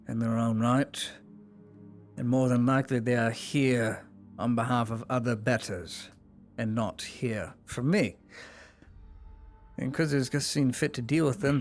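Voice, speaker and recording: A man playing a cold, zealous imperial enforcer in a live roleplay, scornful and commanding, dropping to whispers and rising to shouts. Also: medium pitch, lightly acted. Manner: swings between soft whispers and sudden shouts. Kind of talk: live roleplay in a virtual world.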